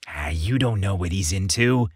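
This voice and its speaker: A man's voice: low-pitched, expressive, normal speed, slightly rough, no room sound, clean studio sound. A man voicing a mischievous young male buddy in an audiobook, teasing and goading playfully. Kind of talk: audiobook character dialogue.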